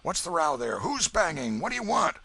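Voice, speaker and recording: Casting: man playing a bluff, booming old farmer, kindly and commanding, lively in pitch. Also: moderate pace, dry recording. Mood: hearty. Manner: loud, hearty and commanding.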